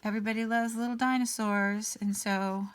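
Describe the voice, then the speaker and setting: A woman hosting a casual coloring session, a little raspy as she gets over an illness, thinking aloud in an unhurried way with many ums. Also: mid-range voice, steady tone. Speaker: artist and channel host. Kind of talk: casual color-along chat.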